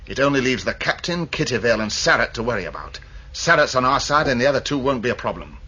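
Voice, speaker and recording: A man's voice: medium pitch, animated, fast, pushed and bright, husky, muffled recording. An actor playing a cold, scheming, confident crewman in a science fiction radio drama, terse, calculating and dismissive.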